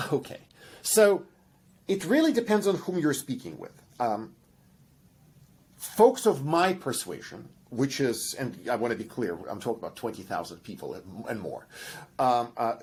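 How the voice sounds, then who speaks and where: Husky-voiced man with a Russian accent; eager professor explaining science, restarts often. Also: fairly high pitch. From Russian by ancestry. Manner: lecturing, enthusiastic, frequent restarts and fillers. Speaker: genetics professor guest. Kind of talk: explanatory interview answers.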